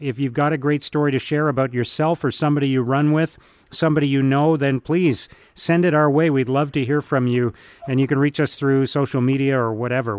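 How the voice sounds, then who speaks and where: A man's voice: mid-range voice, darkish tone, no echo. A man hosting a radio show, chatty and upbeat, talking in long run-on sentences.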